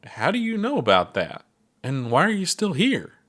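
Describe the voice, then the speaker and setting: An earnest, fretful young astronaut from a storybook reading, voiced by a man. The voice sits noticeably higher than the narration and pushes forward with eager, anxious questions. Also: wildly swooping pitch, moderate pace, fairly bright tone, full character voice. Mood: urgent.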